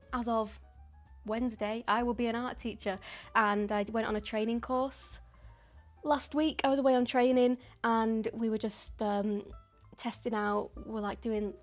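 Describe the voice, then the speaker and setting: Chatty young woman live streaming. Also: on the high side. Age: 20s. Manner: chatty and rambling, with frequent ums and soft laughs. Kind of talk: casual live stream chat while painting.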